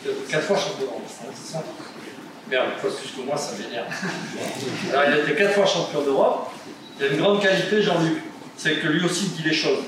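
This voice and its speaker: A man's voice: fairly high pitch, fairly quick. Man, informal tribute speaker, chatty and teasing; distant pickup, very reverberant hall, noisy. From Nice, France. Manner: joking, conversational, many fillers. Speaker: speaker at a judo ceremony. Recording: echoey hall, distant mic, audible noise.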